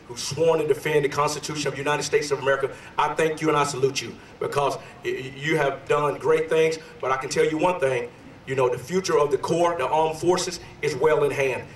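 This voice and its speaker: A man in his forties whose voice is a little hoarse speaks loosely and energetically, full of fillers, as he addresses an outdoor ceremony. His voice reaches the mic from a distance, with echo. Faint background noise sits underneath. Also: on the high side. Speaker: sergeant major giving a history talk. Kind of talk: ceremonial speech.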